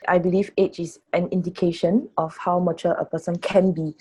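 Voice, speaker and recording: A woman's voice: fairly low pitch, flat delivery, normal speed, mellow, breathy edge, poor audio. A woman of twenty-three with a Singaporean accent, giving her views articulately in a group discussion. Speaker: first-time voter on a discussion panel.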